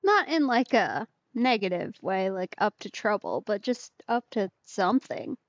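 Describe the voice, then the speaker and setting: A woman's voice: mid-range voice, sing-song, unhurried, dry recording, muffled recording. A young woman doing casual let's play commentary, chatty and full of playful asides.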